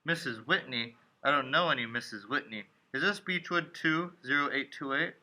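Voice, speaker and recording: A man's voice: mid-range voice, normal speed, bright, forceful tone, rough recording. A young man playing a haunted, frightened traveler in a radio play, telling his story in a brooding, dramatic way. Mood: alarmed, bewildered. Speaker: student actor. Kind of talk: radio play acting, dramatic first-person narration.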